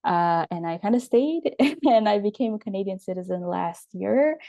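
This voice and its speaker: A young woman from Indonesia with an Indonesian accent, a guest in an interview, answering in a measured way with many ums and uhs. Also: medium pitch, expressive, dark tone, dry recording, clean studio sound. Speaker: guest, writer and storyteller.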